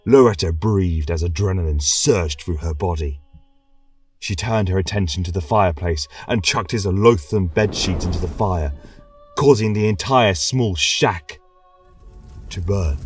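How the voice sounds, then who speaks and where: Slow, low-voiced man narrating fantasy. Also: slightly rough, distant mic. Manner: solemn, dramatic, measured. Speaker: podcast narrator. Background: choral music.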